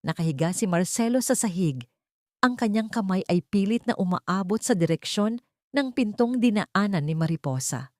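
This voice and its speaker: A woman's voice: mid-range voice, expressive, moderate pace, darkish tone, no room sound, clean studio sound. A woman narrating a scripted audiobook story in a dramatic storytelling style.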